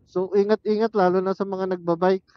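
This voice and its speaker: A man with a fairly high voice talks casually as he rides, in the manner of a motovlogger thinking aloud. The sound is dull and close, with no room echo, as picked up by a helmet intercom mic. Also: flat delivery, fairly quick. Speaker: motorcycle vlogger. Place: outdoors, riding a motorcycle on mountain roads. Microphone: helmet intercom headset used as external mic. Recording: muffled helmet intercom microphone.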